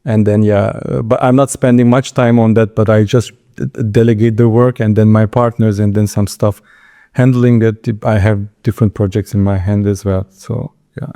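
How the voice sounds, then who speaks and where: Man, Turkish accent, low pitch, soft dark tone, halting delivery, informal interview guest. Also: steady tone. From Istanbul, Turkey.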